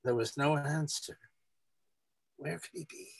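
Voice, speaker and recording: A man's voice: on the high side, fairly even intonation, measured pace, creaky, dry recording. A man reading a short story aloud as scripted, storybook-style narration.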